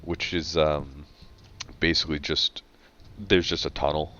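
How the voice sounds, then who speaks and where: A man with a very deep voice talks in a laid-back, dry way on a casual podcast, often pausing mid-thought. The sound is dull and lo-fi. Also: steady tone, pushed and bright. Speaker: podcast co-host. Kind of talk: casual chat.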